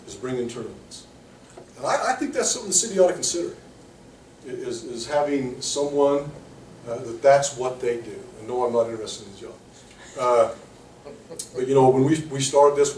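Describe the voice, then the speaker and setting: A man's voice: mid-range voice, darkish tone, slight background noise. Plainspoken middle-aged man, roomy and distant. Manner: plainspoken, repeats and restarts, many fillers. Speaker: public commenter and tournament director. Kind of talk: public comment at a city meeting. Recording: roomy distant meeting room audio.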